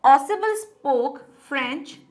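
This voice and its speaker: A woman teacher, teaching an online class. She dictates answers slowly as she writes, then explains them in Hindi mixed with English. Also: high voice, expressive, darkish tone. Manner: slow dictation while writing, mixing Hindi and English.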